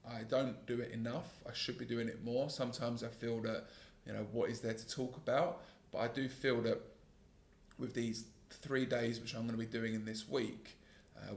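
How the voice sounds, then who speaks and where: Gravelly male podcaster in his 30s, mid pitch, calm and earnest, reflective solo monologue, echoey home setup. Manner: calm, earnest, self-critical, with frequent restarts. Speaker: podcast host and sales trainer.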